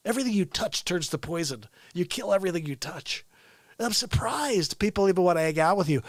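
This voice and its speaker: A high, loud male news crusader played by a man rants with indignant bombast. He stretches his catchphrases for emphasis in a comic mock newscast. The sound is dry and close, with no room echo. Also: expressive, fairly quick.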